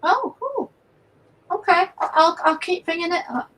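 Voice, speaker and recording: Hoarse woman guest artist, high-pitched, casual and halting as she paints. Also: animated, a bit bright, rough recording. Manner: chatty, halting, repeats words. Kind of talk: casual chat while painting live.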